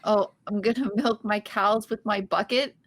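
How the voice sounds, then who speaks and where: A woman's voice: medium pitch, steady tone, moderate pace, fairly bright tone, lightly acted. A woman on a podcast table read voicing a quirky, insecure young woman who talks nervously. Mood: goofy. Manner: nervous, self-doubting, goofy. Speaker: podcast host reading a script.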